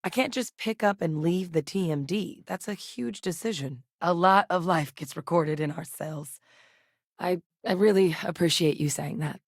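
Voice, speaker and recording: A voice actress playing a composed woman scientist with a military past, speaking in a measured, clipped, matter-of-fact way. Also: on the low side, no echo, clean studio sound. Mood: steady. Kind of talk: video game voice lines.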